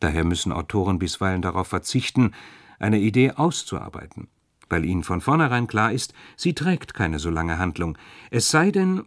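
A man's voice: fairly low pitch, no echo, studio quality. A man giving a wry, rhetorical scripted introduction in 1970s radio style. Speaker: radio presenter.

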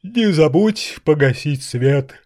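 A man's voice: fairly high pitch, animated, slow, darkish tone, full character voice. A man playing an elderly piano maestro in an audio drama, grandiloquent and indulgent, with theatrical, florid delivery. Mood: tired, satisfied. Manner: theatrical, florid, condescendingly affectionate.

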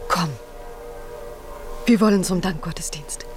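A woman's voice: fairly low pitch, measured pace, soft background music, close to an everyday voice. An actress playing a devout, determined young mother in a 1950s German radio drama, speaking with earnest, lyrical fervour. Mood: joyful longing.

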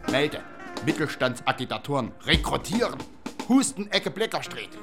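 An actor in a radio play playing a furtive secret agent who reports in terse, clipped, military phrases. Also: male voice, on the high side, sing-song, moderate pace, creaky, music in the background. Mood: clipped, urgent. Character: furtive double-agent informer reporting by code.

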